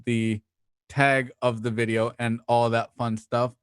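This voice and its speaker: A man's voice: mid-range voice, level tone, a little slow, clear, resonant tone, no room sound, clean studio sound. A man hosting a casual live show, talkative and playful, reading promos and dropping in rambling asides. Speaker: host.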